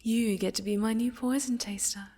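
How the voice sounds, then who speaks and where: A woman playing a haughty, sinister necromancer villainess in a soft-spoken ASMR roleplay, silky, condescending and mockingly sweet, often sinking to a whisper. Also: fairly high pitch, fairly even intonation. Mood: menacing, amused. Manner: condescending, silky, menacing, drawn-out.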